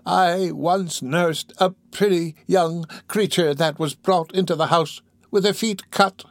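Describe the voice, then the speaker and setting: A man's voice: high-pitched, fairly even intonation, slow pace, no echo, clean studio sound, voice pushed up. A man voicing a dying old pauper woman in a storybook, urgent and hollow, drawing out the words. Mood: laboured, confessing.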